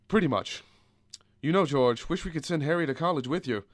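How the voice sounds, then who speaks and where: A man's voice: on the high side, fairly quick, no echo, studio quality, natural voice. An actor playing a kindly, weary, principled small-town father in a radio drama. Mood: gentle. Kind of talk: old-time radio drama acting.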